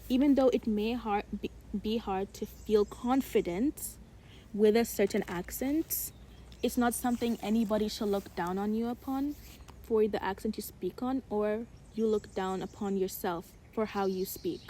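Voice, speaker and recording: A woman's voice: medium pitch, moderate pace, dark tone, a little hiss or hum. A young woman, in her teens, with an American accent, reflective and casual, co-hosting a podcast chat with many filler likes. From Uganda. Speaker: podcast co-host.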